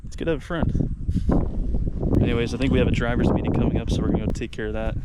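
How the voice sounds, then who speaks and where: A man's voice: mid-range voice, fairly even intonation, racing, heavy background noise. A man talking casually to the camera as a vlogger, laid-back and swearing now and then, his nose stuffy from allergies. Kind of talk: casual vlog talk to camera.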